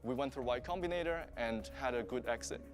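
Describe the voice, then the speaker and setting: German-accented man pitching his startup. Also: brisk, music in the background. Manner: earnest, explanatory, steady delivery. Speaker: startup founder pitching investors. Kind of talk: investor pitch on a show.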